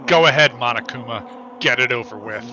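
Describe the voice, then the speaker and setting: A man voicing a tough young biker gang leader who gives up, gruff and resigned. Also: mid-range voice, normal speed, pushed and bright, highs cut off, slight background noise, lightly acted.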